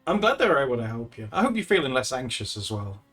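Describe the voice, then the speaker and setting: A man with a lively, swooping voice chats with his viewers through a live drawing stream. He is talkative and giggly, laughs often and trips over his own sentences. Soft music plays faintly behind him. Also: mid-range voice, fast talker. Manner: chatty and giggly, with frequent laughs and restarts. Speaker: livestreamer. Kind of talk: casual livestream chat with viewers while drawing.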